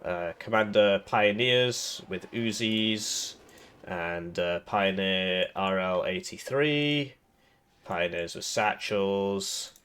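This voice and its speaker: A man's voice: low voice, slow, fairly bright tone, smooth. A man with a cold, sounding a little throaty, giving casual rambling commentary over a strategy game with lots of fillers and restarts. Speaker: gaming video creator.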